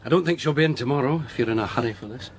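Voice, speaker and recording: A formal male police doctor played by an actor in a radio crime drama. His medium-pitched voice is brisk and polite with a resigned edge, over faint background ambience. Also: slight background noise, lightly acted. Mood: weary, resigned. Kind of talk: naturalistic radio drama acting.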